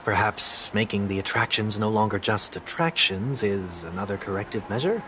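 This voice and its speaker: A solemn, formal fallen leader. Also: male voice, on the low side, moderate pace, a little hiss or hum. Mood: composed. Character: dignified winged man, former elite leader. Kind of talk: game voice acting. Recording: game audio with loud music.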